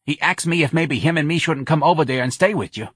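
A man voicing a loud, bossy older woman with a New Orleans accent, brash and pushy in an audiobook story. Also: a little high, fast talker, breathy edge, no room sound, studio quality, pitched above the performer's own voice. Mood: urgent, gossipy.

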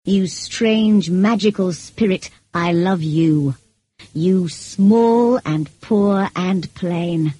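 A woman voicing a commanding gentleman master in an audiobook romance, teasing and intense, with a measured, insistent manner. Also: lowish voice, slightly rough, dry recording, full character voice. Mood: earnest wooing.

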